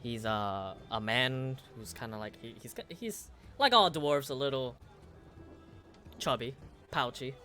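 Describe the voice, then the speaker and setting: Rambling young male game master. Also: medium pitch. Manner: rambling, halting, self-mocking. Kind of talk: casual tabletop game narration. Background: soft music.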